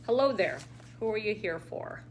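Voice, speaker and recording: A woman voicing a stubby nurse with a Vietnamese accent, speaking in a soothing, matter-of-fact way at a somewhat higher pitch than her own. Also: animated, moderate pace, slight background noise, close to an everyday voice. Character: stubby female nurse at a care home.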